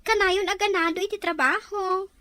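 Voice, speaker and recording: A woman's voice: extremely high pitch, fairly even intonation, moderate pace, bright, forceful tone, no echo. A woman playing a chatty, teasing young friend, with a Filipino Ilocano accent. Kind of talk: radio drama dialogue.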